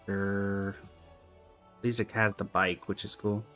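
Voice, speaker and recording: A man's voice: on the low side, level tone, takes it slowly, pushed and bright, soft background music. A man giving casual, chatty commentary on a game livestream, talking to his chat and humming little filler syllables.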